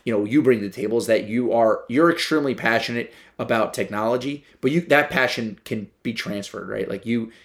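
A man's voice: medium pitch, a little fast, hardly any pauses. A man hosting a podcast, talking casually with lots of fillers and tag questions. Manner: loose, filler-heavy, tag questions like "right?". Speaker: podcast host. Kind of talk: casual conversation.

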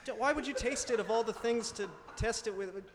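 A man's voice: very high voice, fairly quick. A man playing a prim, anxious, skeptical husband in an improvised comedy radio play, fussy, stammering and wordy. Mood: appalled. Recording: very echoey room, clear background noise.

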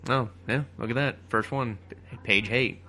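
Casting: male riffer, medium pitch, very bright and forceful, sarcastic and crude in his comic commentary. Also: measured pace. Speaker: comedy riffer. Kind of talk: joking riff commentary over a comic film.

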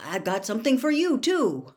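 A woman's voice: a little high, sing-song, normal speed, a little mellow, pitched above the performer's own voice. A woman voicing a polite, awkward, kindly teenage boy wizard in a storybook, hesitant and inclined to mutter.

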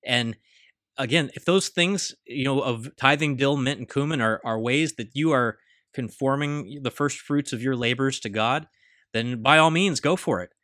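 A man of middle pitch speaks casually about theology and drops wry asides as he goes. His voice sounds dry and close, with no room echo. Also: moderate pace. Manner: conversational, wry, lively asides. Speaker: podcast co-host. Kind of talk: theological conversation.

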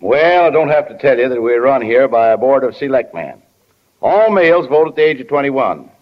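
Muffled vintage radio audio of a man playing a small-town newspaper editor. He is dry and matter-of-fact, in a medium-pitched voice with wide rises and falls. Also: moderate pace, close to an everyday voice. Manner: deadpan, reciting facts. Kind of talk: 1940s radio acting. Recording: muffled 1940 radio broadcast.

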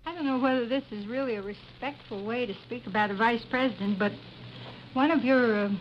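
A woman's voice: a little high, fairly quick. A woman chatting and telling an anecdote in a radio interview, on a muffled, noisy vintage recording.

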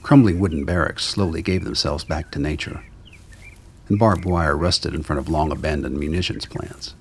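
A man's voice: very deep voice, moderate pace, a little hiss or hum. A man reading scripted dramatic narration for a radio play.

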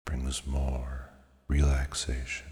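A man narrating a scripted guided meditation in a measured, soothing, hypnotic way with deliberate pauses. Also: extremely low pitch, monotone, a little mellow. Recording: long cavernous reverb on the voice.

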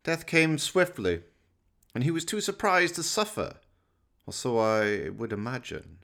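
A man's voice: mid-range voice, big pitch swings, measured pace, gravelly, fairly bright tone, voice pushed up, heavily acted. A man playing a sinister, gloating doctor in his fifties in a radio play, with pompous, theatrical and precise delivery. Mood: smug, professional. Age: 50s. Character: sinister, gloating middle-aged mad scientist doctor. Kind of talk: radio play villain acting.

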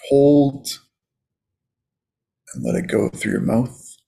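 A man leading a guided meditation as a coach, in a soothing, casual, unhurried manner. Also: mid-range voice, vocal fry, dark tone.

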